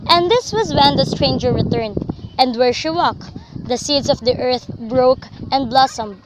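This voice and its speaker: A woman's voice: high-pitched, animated, measured pace, heavy background noise. A woman reading scripted literary narration for a student radio drama, in a dramatic storytelling manner.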